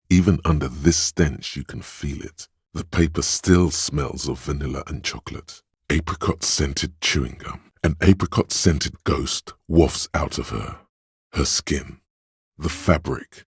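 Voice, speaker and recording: A very low, gravelly, husky voice playing a disembodied sense of smell inside a game's hero. It narrates odors in sardonic, richly detailed second person. Recorded close and dry in a studio. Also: male voice, no echo, exaggerated voice. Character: disembodied inner sense of smell, male, wry. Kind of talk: game voice-over narration.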